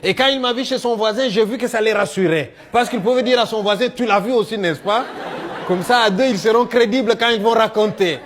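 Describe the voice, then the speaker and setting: A middle-aged man with a Congolese French accent tells comic stories in a one-man show, acting out dialogue in an animated way. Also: high voice, fairly quick. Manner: animated storyteller, acting out dialogue, punctuating with "hein". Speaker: stage storyteller and comedian.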